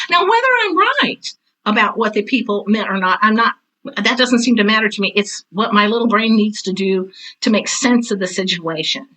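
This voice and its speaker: Bright-voiced, emphatic older woman. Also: on the high side, rough recording. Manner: self-described intense, direct. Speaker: guest, theater teacher and author. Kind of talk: casual interview talk.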